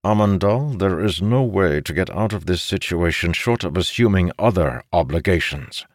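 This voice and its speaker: A man voicing a weary, authoritative father of grown sons in an audiobook, stern and measured but placating. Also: deep voice, lively intonation, no echo, clean studio sound, voice pushed up. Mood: firm, persuasive. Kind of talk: audiobook character voice.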